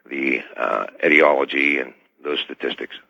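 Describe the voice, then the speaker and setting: A man speaking as a guest in a radio interview, choosing his words carefully and formally, with hesitant, measured phrasing. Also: rumbling low, vocal fry, pushed and bright, dry recording. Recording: dull band-limited radio line.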